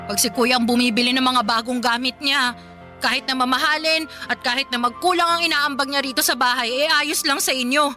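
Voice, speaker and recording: A woman's voice: high-pitched, fairly even intonation, a little fast, bright, forceful tone, soft background music. A woman playing a young working daughter in a Filipino radio drama, respectful but defiant, protesting politely and pleadingly. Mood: hurt, protesting. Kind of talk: melodramatic radio drama acting.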